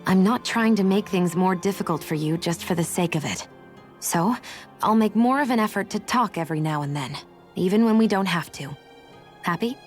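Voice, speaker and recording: A blunt, aloof young warrior woman from a fantasy game, acted by a woman. Her voice sits at a medium pitch and is curt and sardonic. Faint music runs behind it. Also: animated, normal speed, close to an everyday voice. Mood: reserved. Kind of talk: video game voice acting.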